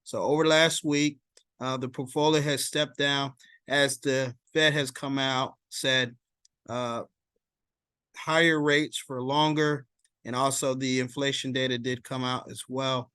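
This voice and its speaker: A man giving informal market commentary as a stock vlogger, explaining in a halting way and checking in as he goes. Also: mid-range voice, steady tone, bright, forceful tone, clear, resonant tone, dry recording.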